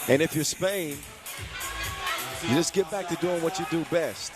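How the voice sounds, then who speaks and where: A man doing lively, joking play-by-play commentary at a basketball game. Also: a little high, unhurried. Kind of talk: live sports commentary. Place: basketball arena. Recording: loud crowd and arena music behind.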